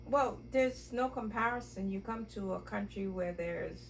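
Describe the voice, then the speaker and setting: A woman's voice: medium pitch, measured pace, vocal fry, slight background noise, low-quality recording. A woman in her sixties from Trinidad, a podcast guest giving short, matter-of-fact answers in casual conversation. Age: 60s.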